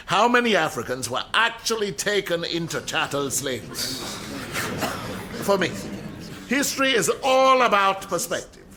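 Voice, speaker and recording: An actor playing an outspoken, wry, middle-aged history professor in a radio drama, emphatic and rhetorical. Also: male voice, medium pitch, background noise. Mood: impassioned lecturing. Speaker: university lecturer. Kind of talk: radio drama acting, lecturing.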